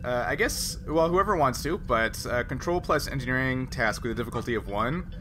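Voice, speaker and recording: A man's voice: medium pitch, expressive, a little fast. A man acting as game master, narrating a tabletop role-playing game in an informal, conversational way. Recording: faint background noise.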